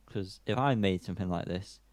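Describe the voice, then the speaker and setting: A man's voice: low-pitched, a little fast, darkish tone, dry recording. A man co-hosting a music podcast, giving informal opinions in casual chat with the odd swear word.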